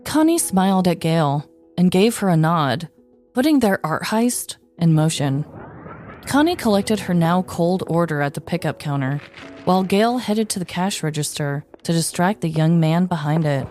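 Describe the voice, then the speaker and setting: A woman's voice: fairly low pitch, lively intonation, normal speed, a little mellow. A woman hosting a podcast, narrating a scripted story in a descriptive, mock-dramatic way. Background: music.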